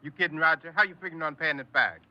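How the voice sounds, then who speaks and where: A man's voice: on the high side, rapid-fire, natural voice. An actor playing a wary, brusque young American pin boy with slangy talk in a 1950s radio drama. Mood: suspicious, dismissive. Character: wary, tight-fisted young bowling-alley pin boy. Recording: dull, faintly noisy old radio recording.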